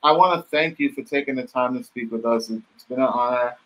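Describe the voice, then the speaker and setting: A man in his thirties hosting a casual video-call interview in a halting way, often repeating words. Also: mid-range voice, fairly bright tone, poor audio. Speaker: interview host. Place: dim home studio room, video call.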